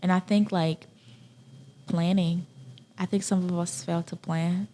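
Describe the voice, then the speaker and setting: A woman's voice: fairly low pitch, steady tone, unhurried, warm tone. A woman from Haiti speaking casually and earnestly as an interview guest, repeating key phrases for emphasis. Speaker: podcast guest.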